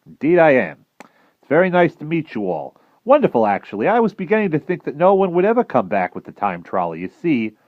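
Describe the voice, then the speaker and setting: A sly American man bluffing his way through as an admiral, as the male narrator puts him on. He sounds smooth and knowing, raised in pitch above the reader's natural voice. The recording is dry and slightly dull. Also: wildly swooping pitch, a little fast, no room sound. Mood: hurried. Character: sly middle-aged man posing as admiral.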